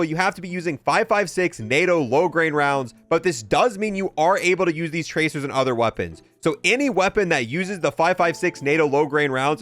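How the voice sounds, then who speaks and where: A man's voice: fairly high pitch, a bit bright. A young man giving casual, matter-of-fact commentary as he explains and shows off video game content. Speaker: gaming video host.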